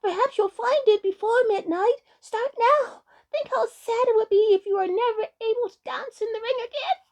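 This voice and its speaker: Woman voicing shrill, anxious little pixies. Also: lively intonation, normal speed, dark tone. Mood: urging. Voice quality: high, shrill. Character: excitable crowd of tiny pixies, anxious, urging.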